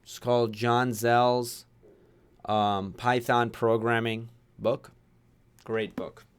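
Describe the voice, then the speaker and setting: Young male course instructor from Pakistan, smooth clear voice, easygoing livestream host. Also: medium pitch, fairly even intonation, slow pace. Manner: chatty, reads viewer names and questions aloud. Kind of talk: casual livestream Q&A.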